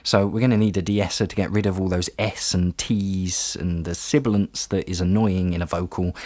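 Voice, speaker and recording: A man's voice: sits low, a little mellow, no room sound. A man narrating an informal step-by-step tutorial as an audio tutor, in a conversational, explanatory way.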